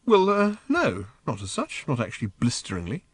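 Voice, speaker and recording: Brief: male reader voicing a worn-out, sarcastic everyman, pitched high and strained, voice swinging wildly. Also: normal speed, slightly rough, no echo, exaggerated voice. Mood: flustered. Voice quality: high strained, rising to near-shouts. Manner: sarcastic, hesitant with uhs when calm. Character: exasperated frazzled human man, sarcastic and fretful.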